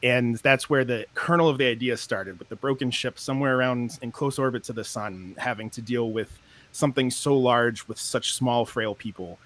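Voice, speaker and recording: A man's voice: medium pitch, fairly even intonation, normal speed, a bit bright. A man who created a podcast, explaining at length in a casual, enthusiastic interview.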